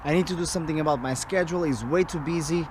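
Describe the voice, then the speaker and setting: A tired, hoarse man, a drum teacher, talking casually to camera in a vlog about his teaching day. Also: on the high side, fast, background noise.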